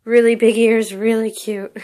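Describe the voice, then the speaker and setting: A woman's voice: fairly high pitch, flat delivery, measured pace, warm tone. A young woman showing off a costume for sale in her bedroom, talking casually and gushing with lots of "like" and "really, really". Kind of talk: casual show-and-tell vlog. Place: bedroom, indoors.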